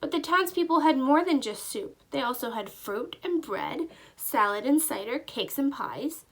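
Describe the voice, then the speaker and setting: A woman narrating a children's storybook aloud with expressive, deliberate storytelling. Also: sits high, rough recording. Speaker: teacher reading aloud.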